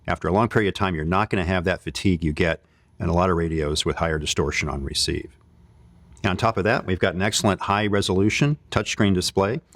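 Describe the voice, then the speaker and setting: A man's voice: deep voice, dry recording. A man presenting technical equipment in an informal product demo, explaining it in a conversational way. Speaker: company presenter demonstrating radio equipment.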